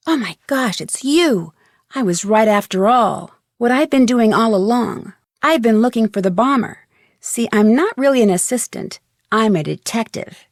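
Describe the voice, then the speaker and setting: A woman's voice: fairly high pitch, a little mellow, no echo, clean studio sound, close to an everyday voice. An actress playing a polite, determined young woman detective in a video game, speaking in an earnest way. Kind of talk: acted video game dialogue lines.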